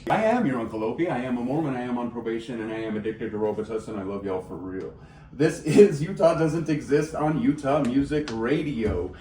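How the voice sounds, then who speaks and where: A man's voice: medium pitch, animated, dark tone. A man hosting a local radio show, chatting casually and laughing easily with his guest. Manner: laid-back, slangy, quick to laugh. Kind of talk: casual interview chat. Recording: roomy, distant mic, faint hiss.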